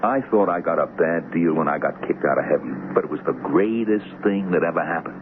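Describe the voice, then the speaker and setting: An actor playing the Devil as a smooth, boastful, self-pitying hustler, theatrical and insistent, in a 1970s radio drama. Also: male voice, a bass voice, a little fast. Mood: gleeful, grateful. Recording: noisy, narrow-band old radio recording.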